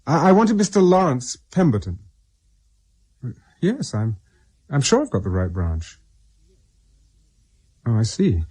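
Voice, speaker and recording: An actor playing a polite, diffident English ex-army captain in a radio drama, British-accented and hesitant. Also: male voice, medium pitch, big pitch swings, a little slow, pauses often, dark tone, slightly rough. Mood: puzzled.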